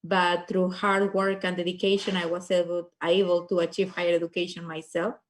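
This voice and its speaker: A middle-aged woman with a Peruvian Spanish accent, introducing herself in earnest, measured terms as a panelist. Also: lowish voice, little pitch movement, slight background noise. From Peru. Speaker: state legislator, panelist.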